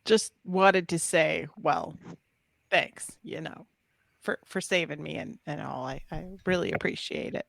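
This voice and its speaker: A woman's voice: sits low, a little slow, vocal fry, dry recording, full character voice. A woman reading the part of an awkward, sincere teenager with a crush at a live table read. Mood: bashful, sincere.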